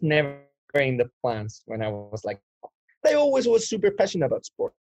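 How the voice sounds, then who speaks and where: A man's voice: mid-range voice, huge pitch swings, moderate pace, a little mellow. A man in his twenties from Chile, telling his life story as an interview guest in a rambling way with many restarts. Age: 20s. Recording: dull lo-fi dry sound.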